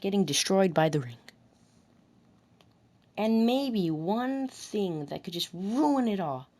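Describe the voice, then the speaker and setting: A woman recording scripted commentary about a video game, deliberately delivering lines and often rephrasing them in retakes. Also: mid-range voice, expressive, darkish tone. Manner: deliberate, restarts and rephrases whole sentences.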